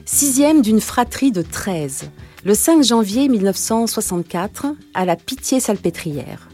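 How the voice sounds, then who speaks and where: A woman hosting a podcast, reading an introduction and asking calm, measured interview questions. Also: mid-range voice, faint music behind. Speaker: podcast host.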